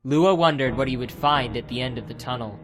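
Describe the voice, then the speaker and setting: A man's voice: mid-range voice, a little fast. A man giving scripted, dramatic narration for an audiobook adventure. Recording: music under the narration.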